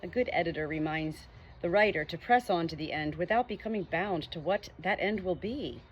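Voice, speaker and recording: A woman's voice: lowish voice, animated, normal speed, highs cut off, a little hiss or hum. A woman reading a nonfiction book aloud as an audiobook. She reads earnestly and lifts her voice on the questions. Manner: earnest, rising emphasis on questions. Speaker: audiobook reader.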